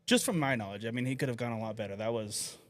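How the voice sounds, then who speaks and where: A man's voice: medium pitch, fast talker, a little hiss or hum. A man co-commentating a live pool match, chatty and opinionated, often restarting his sentences.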